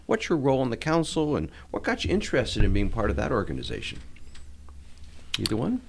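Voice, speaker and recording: A man's voice: medium pitch, wildly swooping pitch, a little fast, gravelly, slight background noise. A middle-aged man hosting a church podcast interview in a friendly manner, chuckling now and then and restarting small phrases. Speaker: podcast host, diocesan chancellor.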